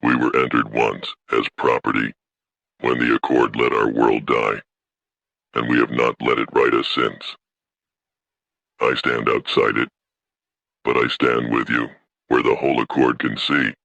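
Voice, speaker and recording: A man playing a stern, proud alien from a wronged people in a sci-fi audio story, solemn and unbending, with a gravelly voice. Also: level tone, unhurried, pauses often, fairly bright tone, no room sound, heavily acted. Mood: solemn defiance. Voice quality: very deep, gravelly.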